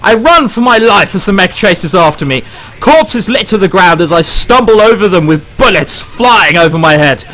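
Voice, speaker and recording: A man's voice: high-pitched, lively intonation, normal speed, fairly bright tone, rough recording. A man reading a visual novel aloud and breaking off into jokey, theatrical asides, with game music behind him. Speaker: let's play host.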